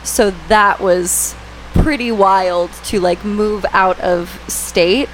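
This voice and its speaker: A young adult woman from Pennsylvania with a raspy voice, chatting as a podcast guest with frequent restarts. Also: medium pitch, slight background noise, poor audio. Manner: chatty, laughing, halting with restarts. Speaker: actor guest. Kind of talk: casual podcast chat.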